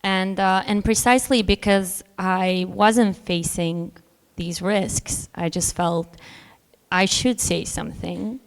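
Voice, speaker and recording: A Bosnian woman novelist answering on a festival panel, thoughtful and hesitant, with many pauses and small laughs between points. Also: medium pitch, steady tone. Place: festival hall with long reverb. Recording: hall reverb, live panel.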